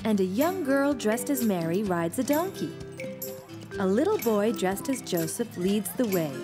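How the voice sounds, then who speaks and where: A woman voicing a gentle, patient young mother who explains things encouragingly in a preschool cartoon. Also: medium pitch, big pitch swings, measured pace, music in the background. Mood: patient, bright.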